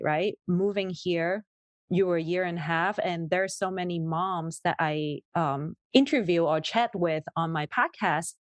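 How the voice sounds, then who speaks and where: Slow-speaking Cantonese-native woman. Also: lowish voice, no echo, studio quality. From Hong Kong. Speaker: podcast guest. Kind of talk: casual podcast conversation.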